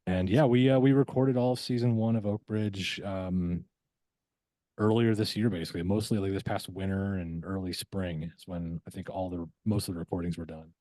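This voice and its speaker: Male podcast guest, low pitch, very dry close sound, halting and reflective casual delivery. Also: fairly even intonation, dark tone, dry recording, poor audio. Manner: halting, reflective, repeats words. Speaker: voice actor guest.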